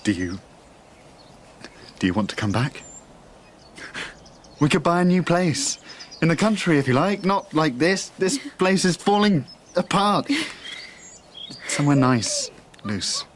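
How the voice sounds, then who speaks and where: Doting, wounded father, slow-spoken, expressive. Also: on the high side, slight background noise. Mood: wounded, pleading. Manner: swings between playful and terse. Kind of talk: naturalistic radio drama acting.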